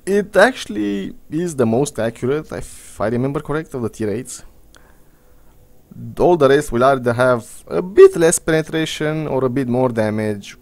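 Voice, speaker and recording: Romanian-accented young man, sarcastic gaming chatter. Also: medium pitch. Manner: rambling, blunt and sarcastic, swears casually. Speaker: gaming commentator. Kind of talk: casual gameplay commentary.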